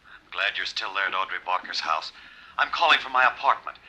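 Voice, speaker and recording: An actor playing a businesslike male caller through a telephone filter in an old-time radio drama, brisk and factual. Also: low voice, expressive, sharp tone, exaggerated voice, low-quality recording.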